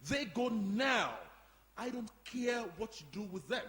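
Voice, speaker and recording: A man acting as an outraged, pompous caretaker in a radio play, very high in pitch for a man. He barks orders with officious indignation. The sound rings in a reverberant hall. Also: animated, a little slow, fairly bright tone, exaggerated voice. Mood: commanding, indignant. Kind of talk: live radio play performance. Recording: echoey hall, live performance.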